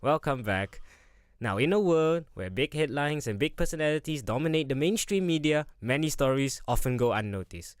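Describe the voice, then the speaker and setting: A man's voice: on the high side, animated, moderate pace, smooth, no room sound, clean studio sound. A young man hosting a casual student radio show, chatty, laughing often and tripping over his words. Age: young adult. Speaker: radio host. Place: radio studio.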